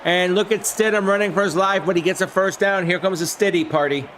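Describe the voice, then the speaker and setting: A man with a hoarse, flu-worn voice calling a video game football simulation play by play on a live stream, shouting on big plays and chatting with his viewers. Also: high-pitched, fairly even intonation, a little fast, slight background noise.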